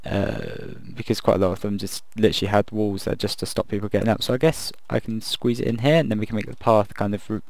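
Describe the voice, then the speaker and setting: Croaky young man musing over a game. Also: on the low side, fairly even intonation, fast, few pauses, a little mellow, no echo. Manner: rambling, thinking aloud, self-deprecating. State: croaky voice late at night. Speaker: gaming video creator. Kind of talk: casual commentary over a building game.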